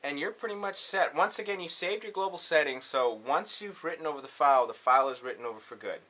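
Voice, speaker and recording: Bright-voiced man giving a tutorial. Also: fairly high pitch, fast. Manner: informal, slangy. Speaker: tutorial host. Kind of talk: casual hands-on tutorial.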